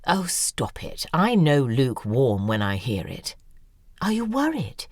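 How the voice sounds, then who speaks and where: An actress in an audio drama playing a self-obsessed, exuberant novelist who speaks in an effusive, articulate, theatrical way. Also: female voice, very deep voice, very animated, unhurried, darkish tone, dry recording, heavily acted. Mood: coaxing, effusive.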